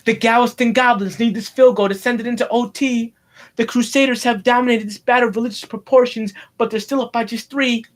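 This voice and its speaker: A man playing an excited football play-by-play commentator from a make-believe game, urgent and dramatic. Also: extremely high pitch, brisk, pitched above the performer's own voice.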